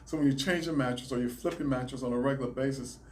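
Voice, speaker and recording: Measured Southern man with faint room hum. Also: mid-range voice, steady tone. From Alabama, raised in South Carolina. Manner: measured, explanatory, some restarts. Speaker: chiropractor, guest. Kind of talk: interview answers, explaining.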